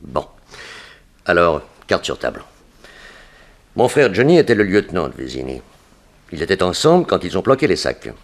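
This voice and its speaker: Crafty gangster, very deep, animated intonation, deliberate, insinuating, 1960s radio thriller. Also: male voice. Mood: insinuating, firm. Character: scheming, vengeful gangster posing as an informant. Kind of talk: 1960s French radio crime drama acting.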